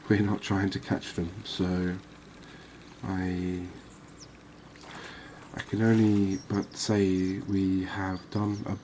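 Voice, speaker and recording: Man, deep voice, little pitch movement, cozy gameplay commentary, musing and unhurried, steady ambient noise behind. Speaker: host of a cozy fishing game channel. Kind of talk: relaxed ASMR-style gameplay commentary.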